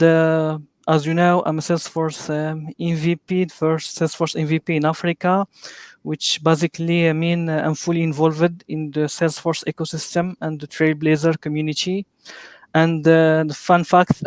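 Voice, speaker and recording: Give me a man, Arabic his first language, with a clear smooth voice in a dry room, pausing on "uh" between phrases. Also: fairly high pitch, flat delivery, dry recording. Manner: halting, with very frequent "uh" fillers. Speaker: guest speaker. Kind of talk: live online interview.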